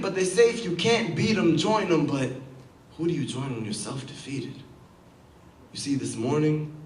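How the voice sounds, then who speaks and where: High-pitched man performing dramatic spoken word. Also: fairly bright tone. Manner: dramatic, deliberate, emphatic delivery. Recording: roomy, distant mic with background noise.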